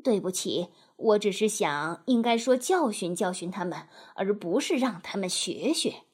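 Woman voicing a meek, lower-toned water rat. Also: expressive, normal speed, breathy edge. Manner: soft, hesitant. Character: meek, anxious, fussy male water rat in storybook.

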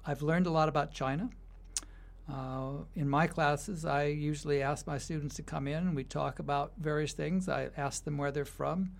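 Reflective man from the United States with a gravelly edge. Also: on the high side. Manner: thoughtful, restarts sentences, laughs lightly. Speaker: university writing instructor. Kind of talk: conversational interview answers.

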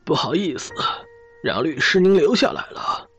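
A man's voice: fairly high pitch, big pitch swings, moderate pace, husky, highs cut off, soft background music, full character voice. An actor playing a sardonic but courteous man of a wealthy family in an audio drama. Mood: courteous, cautioning.

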